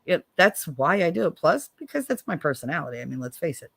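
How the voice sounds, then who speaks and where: A woman's voice: sing-song, fast, gravelly, husky, dry recording. An American woman hosting a casual livestream, reading viewer comments and talking bluntly, with profanity and sarcastic jabs. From United States. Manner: blunt and profane, swings from low weary tones to sharp sarcastic jabs. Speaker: livestream host.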